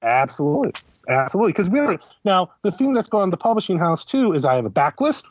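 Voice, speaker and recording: A man with a slightly high voice explains things as an interview guest. He talks conversationally, setting up questions and answering them himself. The audio is dry and a little dull. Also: big pitch swings, fast talker, slightly rough, no echo. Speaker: guest, critic and editor. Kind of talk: casual explanatory interview talk.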